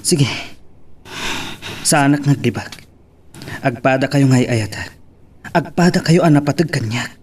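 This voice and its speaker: A man plays a guilty husband begging forgiveness in an Ilocano love drama. His voice is middling in pitch and husky, with an Ilocano accent and a pleading manner. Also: unhurried, pauses often, a little mellow. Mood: apologetic, pleading. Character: unfaithful husband, apologetic and pleading. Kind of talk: Ilocano radio-style love drama acting.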